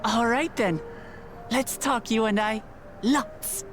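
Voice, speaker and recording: A woman's voice: a little high, a little hiss or hum. A woman playing a kind, earnest young heroine in a video game, speaking sincerely and reassuringly. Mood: gentle, reassuring. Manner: simple, sincere, deliberate phrasing.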